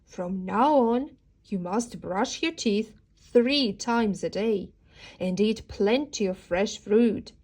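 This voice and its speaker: A woman's voice: mid-range voice, slow, a little mellow, husky. A woman voicing a proud, instructive dentist father in a children's storybook. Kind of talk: children's storybook read-aloud character.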